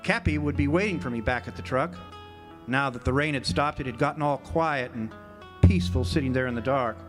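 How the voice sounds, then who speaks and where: An actor playing a world-weary, sardonic ex-jailbird drifter in a radio drama, wry and laconic. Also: male voice, medium pitch, brisk, a bit bright, lightly acted. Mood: reflective, weary. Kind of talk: radio drama acting with first-person narration. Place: live amphitheater stage performance. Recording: live stage recording with music.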